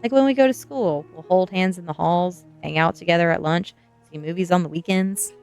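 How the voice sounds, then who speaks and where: A woman's voice: fairly low pitch, a little fast, darkish tone, smooth, faint music behind, lightly acted. A woman voicing a bold, sardonic sixteen-year-old goth girl in an ASMR storybook reading. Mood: pressing, hopeful. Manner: sardonic, coaxing.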